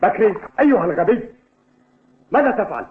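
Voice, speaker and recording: An actor playing a stern, demanding sports coach in an Arabic cartoon dub, with a commanding manner. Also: male voice, sits high, very animated, brisk. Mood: angry. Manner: commanding, barking orders. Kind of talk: dubbed cartoon acting in formal Arabic. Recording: tinny, noisy old TV dub.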